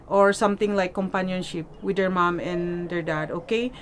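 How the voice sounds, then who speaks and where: A woman's voice: lowish voice, fairly even intonation, normal speed. Emphatic Filipino woman vlogger, noisy recording. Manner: emphatic, repetitive, often checks in with "okay?". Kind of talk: casual question-and-answer vlog chat. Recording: noticeable background noise.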